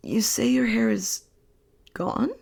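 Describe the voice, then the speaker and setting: A woman's voice: medium pitch, very animated, takes it slowly, gravelly, breathy edge, voice pushed up, full character voice. A woman whispering as an earnest young husband. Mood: bewildered. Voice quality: soft whisper.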